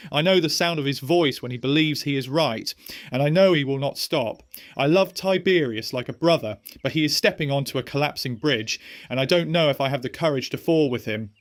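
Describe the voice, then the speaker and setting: A man's voice: fairly high pitch, dry recording, clean studio sound, natural voice. Man playing an anxious, urgent young Roman nobleman. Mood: grave, resigned. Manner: earnest, rhetorical, urgent. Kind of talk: historical audio drama acting.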